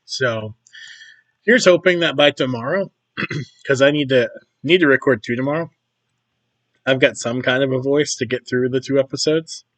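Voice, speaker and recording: A man with a hoarse, worn-out voice talks through a video game session at an ordinary mid pitch. He rambles from one thought to the next and keeps clearing his throat. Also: moderate pace, long pauses, a bit bright, low-quality recording. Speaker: gaming YouTuber. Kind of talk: casual commentary over gameplay.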